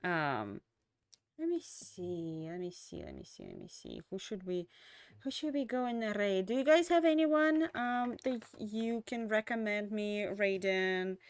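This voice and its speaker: A young woman streamer winds down her stream in loose, rambling chat, full of ums and restarts. She is tired and her voice is fading, yet it still comes through smooth and clear. The sound is close and dry, with no room around it. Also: mid-range voice, sing-song, a little slow, no echo. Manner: rambling, many fillers and restarts. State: losing her voice. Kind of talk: casual end-of-stream chat.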